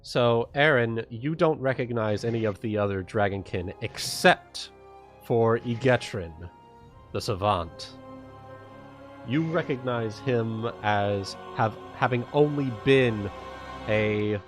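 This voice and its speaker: A man narrating a tabletop fantasy game as game master, deliberate and dramatic. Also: mid-range voice. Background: music.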